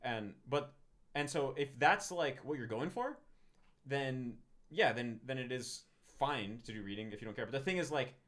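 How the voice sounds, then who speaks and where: A man chatting casually on a live stream about language learning, talking in quick bursts and often restarting his sentences. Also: medium pitch, lively intonation, fairly bright tone. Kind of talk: casual livestream chat. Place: room in Tokyo, live stream.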